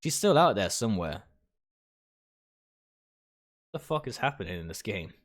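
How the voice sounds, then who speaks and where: A man's voice: on the low side, very animated, moderate pace. A man livestreaming a visual novel, reading its text aloud and stumbling over phrases now and then. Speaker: video game streamer.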